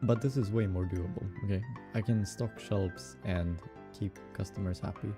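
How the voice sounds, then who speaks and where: Man, Swedish accent, low voice, unhurried, musing with fillers, faint background music. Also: steady tone. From Sweden. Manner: musing aloud, halting with fillers and soft laughs. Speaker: game developer streaming his hobby project. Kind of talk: casual live-coding stream chatter.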